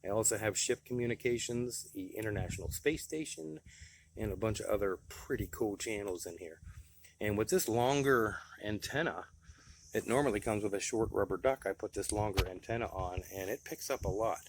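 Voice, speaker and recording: A man's voice: on the low side, moderate pace, slight background noise. An American man giving a casual, plain-spoken, folksy demonstration of his gear. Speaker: radio hobbyist presenting his gear.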